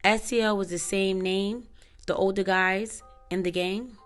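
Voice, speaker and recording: A woman questions a witness in court in a formal, methodical way and keeps her questions exact. Her voice sits at a middle pitch and sounds smooth and clear. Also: fairly even intonation, a little slow, faint music behind. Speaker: prosecutor.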